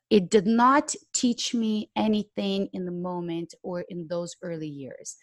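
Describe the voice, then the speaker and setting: A woman from Ukraine, a public speaker and podcast guest, talking candidly in a reflective interview, laughing often and filling pauses with "um" and "you know". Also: mid-range voice, no echo.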